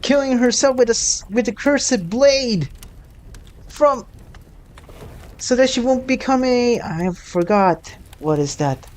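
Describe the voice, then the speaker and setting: Slow, halting game streamer. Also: medium pitch, animated, darkish tone. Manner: halting, thinking aloud. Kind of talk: casual game reaction commentary.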